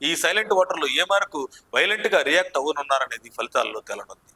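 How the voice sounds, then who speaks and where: A man narrates scripted political news. His voice is very bright and sharp, sitting a little high, and he drives through the copy quickly with dramatic emphasis. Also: big pitch swings.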